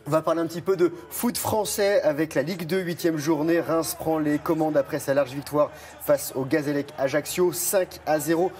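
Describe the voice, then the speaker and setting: A man's voice: on the high side, steady tone, normal speed, few pauses, darkish tone, a little hiss or hum. A man presenting sports results on morning TV, stumbling over names and joking about it.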